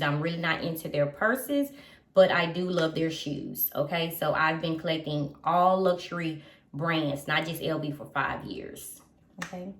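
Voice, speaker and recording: A woman in her thirties chatting casually to her viewers as a vlogger, repeating her points, checking in with "okay?" and filling pauses with "um". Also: fairly low pitch, roomy sound, far from the mic. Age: 30s. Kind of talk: casual chat to camera.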